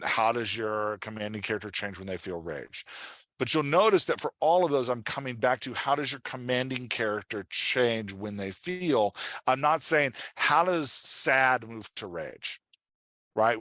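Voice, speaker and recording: A man's voice: medium pitch, fairly bright tone, no echo. A man teaching as a writing coach in an informal, conversational webinar style, explaining things and often checking in with his listeners.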